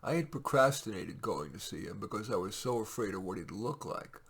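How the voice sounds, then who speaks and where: A man with a very low, husky voice reads short fiction aloud. His delivery is measured and expressive, in the manner of an author sharing his own stories, with plenty of gravelly creak in the tone. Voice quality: raspy, gravelly. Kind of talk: storytelling read aloud with casual asides.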